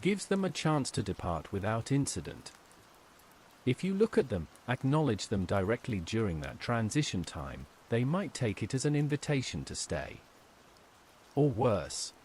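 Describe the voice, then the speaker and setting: A man's voice: medium pitch, very animated, normal speed, a little mellow, slight background noise, natural voice. A man voicing a tired, stern older diner waitress in a horror story, giving grave, cryptic instructions. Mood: grave warning.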